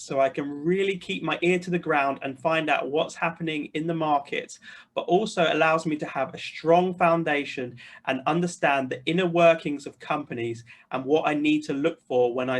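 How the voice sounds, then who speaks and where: A middle-aged British man with a voice pitched a little above average. He talks straight to his audience in an earnest, semi-scripted way, like a video host introducing himself to followers. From United Kingdom. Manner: earnest, direct address to listeners.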